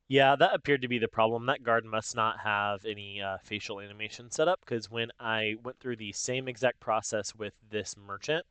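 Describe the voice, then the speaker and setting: A man with a fairly low, smooth voice walks through a software process step by step. He explains informally, as if thinking aloud, and the sound is close and dry. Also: moderate pace, few pauses, no echo. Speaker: tutorial host. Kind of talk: casual step-by-step software tutorial narration.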